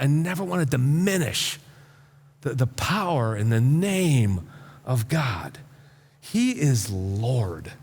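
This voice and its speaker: A man preaching a conversational sermon as a pastor, informal and emphatic, often halting and repeating words to drive a point home. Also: medium pitch. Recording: reverberant hall sound.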